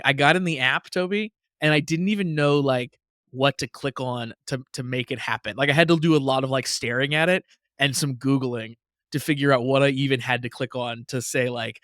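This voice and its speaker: A man co-hosting a podcast in casual chat, self-deprecating, quick to laugh and prone to stammered restarts. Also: mid-range voice, a bit bright, no echo, studio quality. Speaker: podcast co-host.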